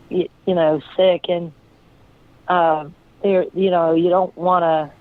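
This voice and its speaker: A hoarse woman with a raspy voice, a guest talking in a rambling, searching way with many fillers. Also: on the low side. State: hoarse from illness. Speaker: guest, friend of the deceased. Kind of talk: casual interview talk. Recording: tinny phone line.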